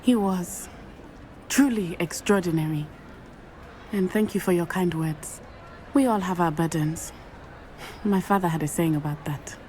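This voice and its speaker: Earnest young witch student, woman voicing her, quiet grief, game cutscene. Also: on the low side, unhurried, long pauses, slight background noise, close to an everyday voice. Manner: earnest, composed storytelling. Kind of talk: video game cutscene acting.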